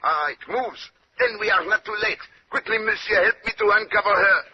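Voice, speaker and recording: An actor playing a courteous, shrewd Belgian detective with a French-speaking accent and formal, theatrical phrasing in an old radio drama. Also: male voice, high-pitched, normal speed, close to an everyday voice. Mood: urgent. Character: courteous, shrewd, fussy Belgian detective, self-assured middle-aged man. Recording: muffled, noisy old radio recording.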